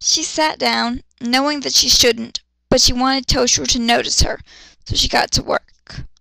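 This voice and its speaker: Female reader-commentator, blunt and sarcastic, creaky edge, close dry sound, dramatic amateur story reading. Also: sits high, fairly even intonation, measured pace, sharp tone, no echo, low-quality recording. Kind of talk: dramatic fan fiction reading with ranting asides.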